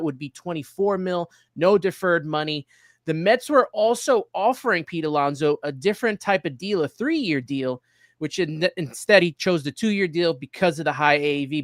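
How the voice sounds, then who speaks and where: A man's voice: on the high side, fairly bright tone, dry recording, clean studio sound. A man hosting a sports talk show, giving commentary and analysis.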